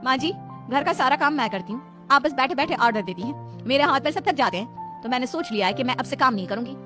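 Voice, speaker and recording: A headstrong young daughter-in-law from a comic cartoon tale , voiced by a woman, complains and gives orders. The voice is high and lively, with music underneath. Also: fast. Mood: bossy, demanding. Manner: demanding, complaining. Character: strong, bossy, indignant young daughter-in-law. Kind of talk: comic Hindi moral-story cartoon acting.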